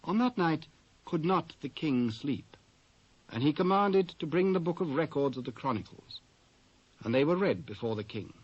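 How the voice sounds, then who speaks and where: A man with a British accent reading scripture as a measured, formal narrator. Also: a little high, lively intonation, husky, no echo. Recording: dry, dull old cassette transfer.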